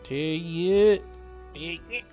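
A man giving casual live gameplay commentary, musing aloud in a jokey, drawn-out way. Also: fairly high pitch, darkish tone, faint music behind. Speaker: game streamer.

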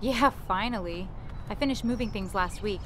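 A woman's voice: mid-range voice, normal speed, background noise, close to an everyday voice. A woman playing a friendly, eager young teacher in an amateur audio drama. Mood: chatty.

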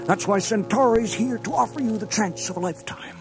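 High-pitched wily showman. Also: a little fast, creaky, over music. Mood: wheedling, gleeful. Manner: theatrical, coaxing patter. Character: wily, grinning salesman-like alien game inventor. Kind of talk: children's read-along storybook acting.

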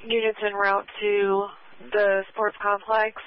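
A woman police dispatcher reading out call details over the radio in a steady, methodical way. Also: medium pitch, slow pace, clean tone. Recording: muffled scanner radio.

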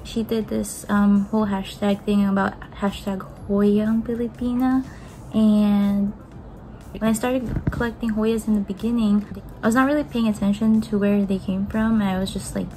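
A woman's voice: mid-range voice, fairly even intonation, normal speed, dark tone, low-quality recording. Young woman, casual chatty vlog, gushing and upbeat, home kitchen, camera on the table, faint background noise. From Philippines. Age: young adult. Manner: chatty and upbeat, gushing, talks while handling plants. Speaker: plant vlogger. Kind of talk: casual unboxing chat. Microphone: camera on the table in front of her.

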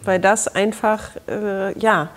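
A woman in her thirties hosting a studio interview, filling pauses with many hesitations. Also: mid-range voice, fairly even intonation. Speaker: talk show host.

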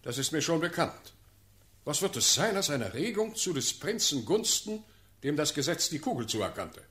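An actor playing a stern, self-assured ruling prince in a classical verse radio drama, declaiming with measured authority. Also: male voice, fairly high pitch, sing-song, bright, forceful tone, slightly rough, exaggerated voice. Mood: calm authority.

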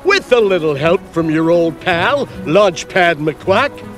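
A man's voice: sits high, expressive, takes it slowly, fairly bright tone, full character voice. A man playing a goofy, loyal big pilot sidekick in a cartoon game, talking in a folksy way. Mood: breezy. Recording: game cutscene with music underneath.

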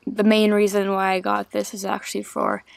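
A woman's voice: medium pitch, completely flat delivery, normal speed, creaky, no room sound. A young woman talking to the camera while fishing from a small boat on a lake, chatty and upbeat, laughing at herself, recorded on an action camera mounted on her. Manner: upbeat, chatty, laughs often, self-deprecating. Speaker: fishing vlogger. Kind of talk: casual vlog talk to camera. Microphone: action camera on chest or mount.

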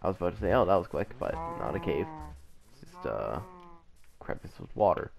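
A man's voice: deep voice, wildly swooping pitch, moderate pace, vocal fry, a little mellow. A young man rambling casually while playing a game, still sick with a cough and the flu. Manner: rambling, chatty, self-correcting. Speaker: gameplay commentator. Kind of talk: casual let's play commentary.

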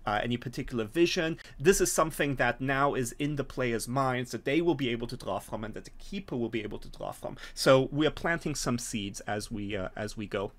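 A middle-aged man explaining and narrating a tabletop game as game master, phrasing things in a hesitant, measured way. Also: mid-range voice. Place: small home room, video call. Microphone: video call from a desk.

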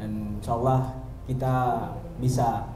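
A man's voice: medium pitch, level tone, takes it slowly, dark tone. A young man, a football player speaking in plain, conversational short phrases at a press conference. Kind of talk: post-match press conference remarks. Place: indoor press conference room. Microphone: handheld microphone. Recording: echoey, noisy press room.

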